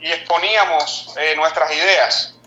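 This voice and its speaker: A man's voice: on the high side, steady tone, unhurried, sharp tone, roomy sound, dull audio, slight background noise. A man in a band giving casual interview answers, stammering and restarting his sentences. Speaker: band member guest.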